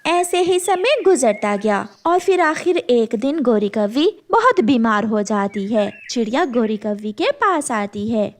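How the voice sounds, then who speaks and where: A woman tells a children's story in a high voice. Her delivery is slow and scripted, with a lively, varied melody.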